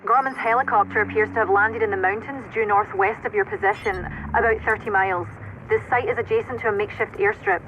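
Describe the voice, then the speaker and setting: A woman's voice: mid-range voice, fairly even intonation, fast, lightly acted. Woman as composed intelligence officer, dull muffled sound. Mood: businesslike. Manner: formal, precise, informative. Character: composed female military intelligence officer, Oracle. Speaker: intelligence officer briefing a commander. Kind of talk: cinematic military game acting. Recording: dull band-limited radio-like sound, faint noise.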